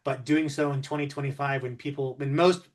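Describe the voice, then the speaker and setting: A man's voice: medium pitch, steady tone, a little fast. A man hosting an interview conversationally, talking in long, complimentary sentences. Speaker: interview host.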